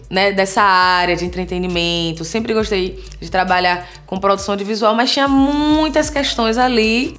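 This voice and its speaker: Bahian woman vlogging, earnest and chatty. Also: mid-range voice. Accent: Bahian Portuguese. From Salvador, Bahia, Brazil. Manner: warm, earnest, conversational, frequent tag questions. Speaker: vlogger, content creator. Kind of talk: personal vlog talk to viewers.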